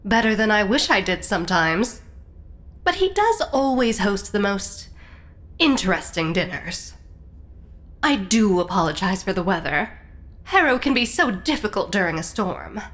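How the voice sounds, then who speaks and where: A woman's voice: medium pitch, sing-song, measured pace, a bit bright. Woman as gracious lady with lilting voice. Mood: gracious, apologetic. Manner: courteous, smoothing, refined. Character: gracious, polished hostess-like lady dinner guest. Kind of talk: audio drama acting.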